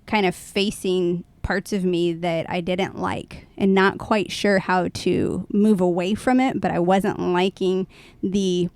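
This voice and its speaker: A woman co-hosting a podcast, talking in a thoughtful, self-reflective and conversational way, with hesitant pauses. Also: lowish voice, steady tone, darkish tone, clean tone, no room sound. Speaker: podcast co-host.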